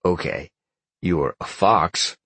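Guy playing a growly lion shifter in an audiobook, low gravelly voice, blunt and impatient. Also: slow pace, a bit bright, no room sound, full character voice. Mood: exasperated. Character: gruff, impatient male lion shapeshifter. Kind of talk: audiobook character acting.

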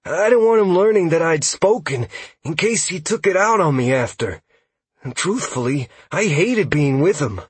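A man performs a jittery male elf scout for an audiobook. The voice sits clearly higher, with a hesitant, apologetic delivery that is eager to explain lore. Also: expressive, normal speed, no room sound. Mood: ashamed, afraid. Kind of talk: audiobook character dialogue.

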